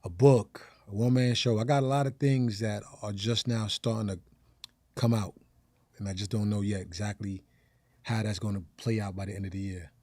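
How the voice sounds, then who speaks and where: An American man, a stand-up comedian guesting on a podcast, talking casually in slang and thinking out loud. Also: on the low side, fairly even intonation, moderate pace, no room sound.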